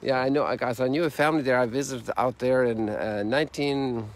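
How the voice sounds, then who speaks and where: A man's voice: mid-range voice, fairly quick. A man in his sixties, a priest hosting a reflective livestream chat outdoors. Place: outdoors on a lakeshore. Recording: outdoor ambience noise.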